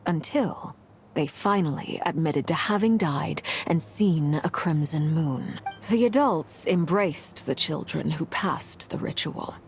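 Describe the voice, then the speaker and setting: A woman voicing a solemn, knowing mystical keeper of flames in a video game, speaking in a measured, formal way. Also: deep voice, animated, a little hiss or hum, heavily acted. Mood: grave, hushed.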